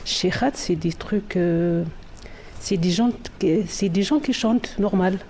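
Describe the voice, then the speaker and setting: A woman's voice: fairly low pitch, takes it slowly, darkish tone, a little hiss or hum. A woman who grew up between the Netherlands and Morocco, speaking informally in an interview and searching for words. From France, Morocco and the Netherlands. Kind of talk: casual interview talk.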